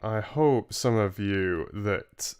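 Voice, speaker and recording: Slow-talking, low-voiced young man. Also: a little mellow, smooth. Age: 20s. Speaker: live-stream book reader and host. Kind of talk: casual livestream chat and reading aloud. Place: small home room, indoors.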